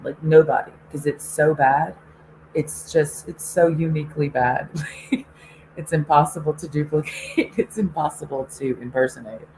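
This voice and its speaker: A woman with a notably low voice rants in a loose, sarcastic monologue, laughing between jabs and swearing freely. Faint noise sits under the recording. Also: darkish tone, poor audio. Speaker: online show host. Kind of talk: casual ranting monologue.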